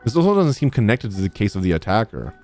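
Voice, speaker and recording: A man's voice: on the low side, very animated, quick pace, darkish tone. A young man giving casual live commentary as he streams a game, speaking into a close desk microphone. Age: young adult. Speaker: game streamer reacting to a story quest. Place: indoor streaming room. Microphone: desk microphone close to mouth. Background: game music.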